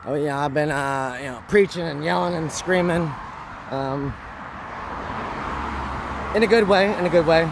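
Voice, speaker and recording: A man with a hoarse voice, talking to camera in a rambling, repetitive, preachy vlog monologue. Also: on the high side, measured pace, pauses often, background noise. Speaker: vlogger.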